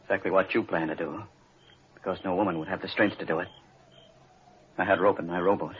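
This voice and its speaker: An actor playing a bitter, guilt-stricken suitor, grave and accusing in clipped lines, in an old-time radio drama. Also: male voice, deep voice, fairly even intonation, quick pace, natural voice. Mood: grave, remorseful. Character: bitter, guilt-stricken suitor who loathes his accomplice. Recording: dull, noisy old radio recording.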